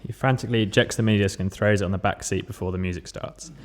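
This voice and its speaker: A man's voice: on the low side, steady tone, very fast. A man narrating scripted stage directions at a table read.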